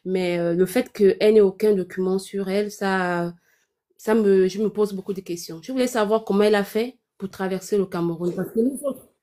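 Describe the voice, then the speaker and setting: A woman's voice: mid-range voice, moderate pace, dark tone. A woman from Gabon with a Gabonese French accent, giving earnest, insistent big-sisterly advice on a live call-in panel.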